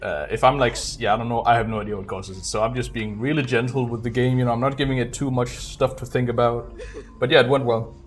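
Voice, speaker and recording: A man's voice: mid-range voice, steady tone, fast talker, slight background noise. A man giving casual live gameplay commentary as a speedrunner, muttering and thinking aloud. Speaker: speedrunner streaming a video game.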